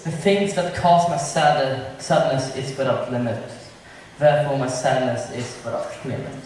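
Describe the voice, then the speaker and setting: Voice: mid-range voice, unhurried, a little mellow, lightly acted. An amateur stage performer playing a gloomy, resentful villain, the brother of a prince, with bitter, declamatory delivery. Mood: brooding. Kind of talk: amateur stage acting of modernized Shakespeare. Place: large echoey room, school drama performance. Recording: distant, very echoey hall, faint noise.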